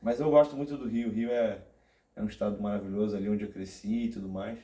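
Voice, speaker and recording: Young guy from rio, carioca accent, kinda low voice, rambling easy chat w lots of fillers. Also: fast, echoey room. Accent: Carioca Brazilian Portuguese. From Rio de Janeiro state, Brazil. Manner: relaxed, rambling, many fillers and restarts. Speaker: podcast guest, theology graduate. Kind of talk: casual chat.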